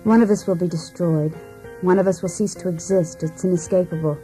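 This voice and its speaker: An actress playing a cold, disillusioned wife set on breaking free, in a deliberate, introspective radio drama monologue. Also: female voice, fairly low pitch, fairly even intonation, dark tone, soft background music, natural voice, poor audio. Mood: grim, determined.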